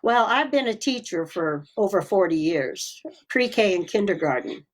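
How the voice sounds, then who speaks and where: A woman's voice: mid-range voice, expressive, unhurried, poor audio. An older woman, sixties or above, a guest chatting casually in a cheerful, folksy way. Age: 60s or older. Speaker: interview guest, children's story reader and teacher. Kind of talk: casual chat.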